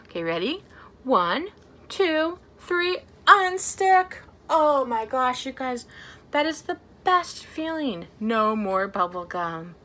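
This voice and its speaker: A woman in her thirties leading a storytime for young children as a librarian, talking in an animated way with simple, exaggerated phrasing. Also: high voice, slow. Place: small plain indoor room.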